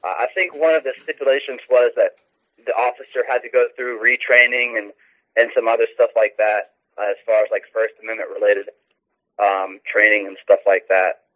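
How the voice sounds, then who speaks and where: A man's voice: on the low side, steady tone, moderate pace. American man on a tinny phone line. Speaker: activist guest, phone-in interviewee. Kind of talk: recounting and explaining in a radio interview. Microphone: telephone. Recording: muffled narrow phone line.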